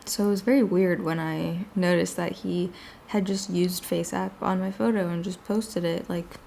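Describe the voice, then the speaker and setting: A woman hosting her own podcast alone at home late at night, chatting casually and rambling with lots of "like", her voice worn and slightly raspy from a long day of talking. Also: on the low side, fairly even intonation, moderate pace, warm tone, slight background noise. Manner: rambling, filler-heavy talk with frequent "like". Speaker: podcast host. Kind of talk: casual solo chat.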